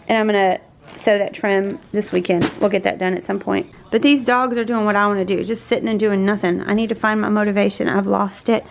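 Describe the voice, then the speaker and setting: A middle-aged woman chatting casually in a home vlog, hoarse from mild laryngitis and worn out, rambling softly and trailing off. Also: mid-range voice. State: tired and worn out. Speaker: home vlogger. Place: small closed pantry indoors.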